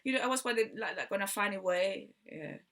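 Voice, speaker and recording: A woman from Barcelona with a Spanish accent tells a rambling personal story in a halting way, repeating words and trailing off. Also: mid-range voice, lively intonation, fairly bright tone. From Barcelona, Spain. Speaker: video host telling personal story.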